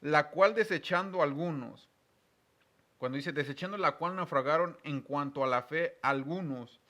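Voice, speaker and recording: A man's voice: fairly high pitch, normal speed, pushed and bright. A man hosting a religious programme, teaching the Bible conversationally in an earnest, explanatory way and stressing key words.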